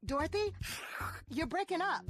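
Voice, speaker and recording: Lively female walker for a radio spot, played by an actress, high-pitched and very animated. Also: moderate pace, exaggerated voice. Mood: playful. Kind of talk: scripted radio ad dialogue.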